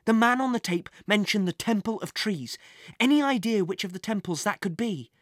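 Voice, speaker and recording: A storyteller voicing an earnest, excitable young boy secret agent in an adventure audiobook, speaking with urgency. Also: medium pitch, moderate pace, dry recording, studio quality, pitched above the performer's own voice, lightly acted. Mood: urgent. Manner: urgent, intense.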